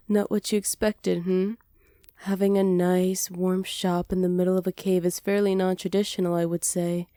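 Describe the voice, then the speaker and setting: A sly, self-assured witch played by a woman speaks softly in a warm, dark-toned voice for an ASMR roleplay. She is flirtatious and hospitable, teasing and flattering as she goes. The sound is very dry, with no room around it. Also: lowish voice, unhurried, dry recording, natural voice. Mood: sly, amused. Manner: soft-spoken, teasing, flattering, partly whispered. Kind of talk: ASMR roleplay, slow scripted acting.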